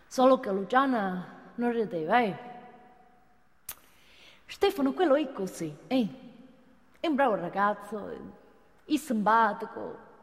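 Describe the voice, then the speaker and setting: An actress playing a fond, plain-spoken gossip, telling a story haltingly in a theatrical monologue. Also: female voice, medium pitch, lively intonation. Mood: fondly resigned. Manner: halting, folksy, with frequent tag questions. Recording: hall reverb, faint music behind.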